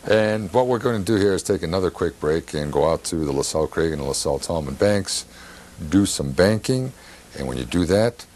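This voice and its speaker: A man's voice: low-pitched, expressive, fairly quick, darkish tone. A man hosting a television talk show with casual chat. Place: television studio.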